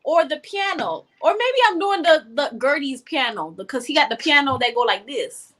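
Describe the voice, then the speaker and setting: A woman's voice: high voice, lively intonation, moderate pace, a bit bright. A young woman hosting a casual chat show in a playful, slangy, joking manner. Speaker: podcast host.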